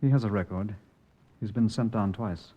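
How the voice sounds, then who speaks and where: An actor playing a methodical, respectful police sergeant in an old-time radio crime drama. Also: male voice, lowish voice, moderate pace, mellow, close to an everyday voice. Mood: thoughtful, measured.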